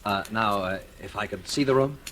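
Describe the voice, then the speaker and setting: An actor playing a polite young stranger, a new bank clerk, in an old radio drama, a little hesitant. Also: male voice, on the low side, brisk, a bit bright, slight background noise, close to an everyday voice. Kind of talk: 1940s radio acting.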